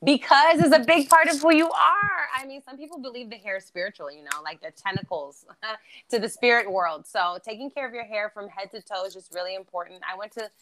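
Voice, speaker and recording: A woman's voice: a little high, fairly quick, fairly bright tone, low-quality recording. A woman born in Germany with German as her first language, speaking English with an American East Coast accent in an upbeat, chatty, motivational way as an interview guest. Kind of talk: casual interview chat.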